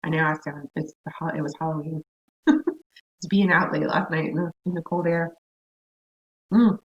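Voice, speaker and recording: A hoarse woman with a scratchy voice giving a casual recorded class lecture on counseling. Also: low-pitched, normal speed, muffled recording. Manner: conversational, chatty asides. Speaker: counseling instructor.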